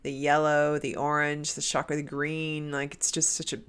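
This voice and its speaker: A woman's voice: low-pitched, completely flat delivery, normal speed, a bit bright, smooth. A woman doing a casual spiritual card reading, her nose stuffed up from a cold, talking in a meandering way with many restarts. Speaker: tarot card reader.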